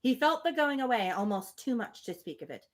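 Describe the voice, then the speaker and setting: A woman's voice: on the high side, very animated, fairly quick. A woman reading a novel aloud on a livestream, breaking off for casual, opinionated asides. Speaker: reader on a livestream. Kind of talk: live book reading with casual commentary.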